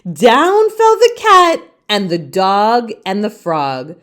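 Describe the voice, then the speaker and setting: A woman reading a children's story aloud with theatrical, deliberate phrasing. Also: mid-range voice, wildly swooping pitch, fairly bright tone. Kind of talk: expressive children's read-aloud narration.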